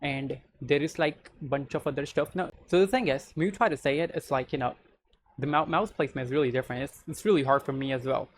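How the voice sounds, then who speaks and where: A man's voice: a little high, fast talker, slight background noise. A young man from India with an Indian accent, chatting casually as he reacts to a video, circling back with "so the thing is.". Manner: rambling, repeats "so the thing is".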